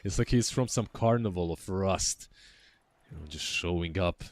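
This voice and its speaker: Low-voiced young male esports caster. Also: moderate pace, dry recording. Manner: relaxed, chatty, trails off often. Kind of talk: casual esports commentary.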